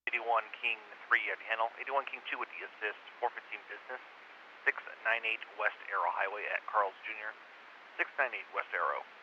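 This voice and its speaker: A man's voice: lowish voice, fairly even intonation, fairly quick, pushed and bright. A man working as a police dispatcher, reading out calls in clipped procedural phrases over a police radio. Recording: muffled hissy police scanner radio.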